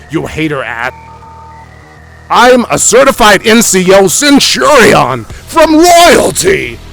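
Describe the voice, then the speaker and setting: A man's voice: fairly high pitch, gravelly, fairly bright tone, exaggerated voice, poor audio. A man playing a boastful, indignant internet tough guy who rants profanely in a dramatic reading of forum posts. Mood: loud, indignant. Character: boastful, ranting, insecure internet tough guy claiming royalty. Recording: music under the voice.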